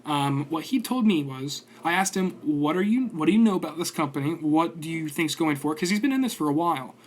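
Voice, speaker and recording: A man's voice: fairly high pitch, lively intonation, a little fast. A man in his twenties giving casual, rambling stock commentary on his stream, full of fillers and restarts. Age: 20s.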